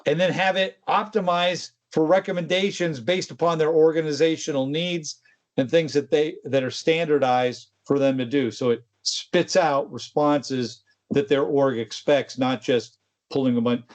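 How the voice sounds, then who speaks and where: A man's voice: fairly high pitch, fairly even intonation, a little slow. A man co-hosting a tech show, explaining in a casual, conversational way with informal asides. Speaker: co-host of a tech discussion show.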